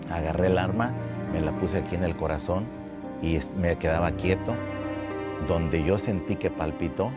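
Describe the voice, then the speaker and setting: Very deep-voiced Mexican-born man testifying. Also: loud music behind the voice. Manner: slow, halting, repeats words. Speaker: guest giving testimony. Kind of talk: church testimony interview. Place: church building.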